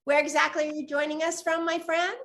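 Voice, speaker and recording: A woman's voice: high voice, little pitch movement, fairly quick, fairly bright tone. An older woman hosting a chatty livestream interview, effusive and welcoming with her guest. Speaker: live show host. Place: video call livestream.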